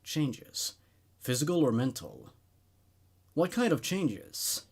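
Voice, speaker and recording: A man voicing an attentive male psychiatrist in a horror story, measured, clinical and questioning. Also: mid-range voice, wildly swooping pitch, gravelly, darkish tone, slightly rough, exaggerated voice. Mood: probing, reassuring. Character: probing, attentive male psychiatrist taking notes.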